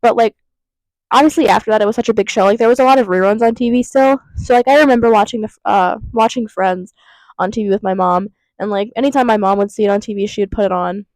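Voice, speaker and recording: A woman's voice: mid-range voice, fast, a little mellow, no room sound, rough recording. A young woman in her twenties with a cold and a stuffed-up nose, chatting casually to her viewers in a rambling way full of fillers and tangents. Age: 20s. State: congested with a cold. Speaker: vlogger. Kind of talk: casual chat to camera. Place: vape shop counter.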